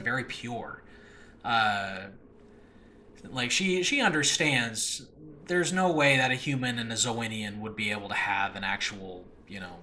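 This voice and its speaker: Bright-voiced man rambling earnestly on a podcast. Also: mid-range voice. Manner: rambling, self-deprecating, earnest. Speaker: host and audio drama creator. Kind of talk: casual, unscripted podcast monologue. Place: makeshift room in a new home. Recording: noisy makeshift home recording.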